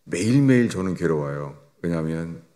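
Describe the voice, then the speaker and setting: An older man preaching a sermon as a pastor, swinging between raised emphatic lines and low, quiet drops. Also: lively intonation, moderate pace. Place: church sanctuary. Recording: long church reverb.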